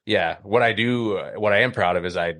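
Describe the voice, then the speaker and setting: Man, slightly deep voice, dry recording, halting digressive podcast chat, casual profanity. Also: sharp tone, no room sound. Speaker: podcast host.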